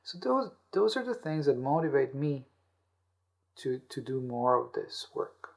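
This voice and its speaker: A man with a medium-pitched voice and a noticeable creak explains personal-growth ideas in an interview. He sounds earnest and often repeats or rewords his phrases as he builds a point. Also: very animated, unhurried. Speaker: podcast host and author being interviewed. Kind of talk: explanatory interview talk on self-help.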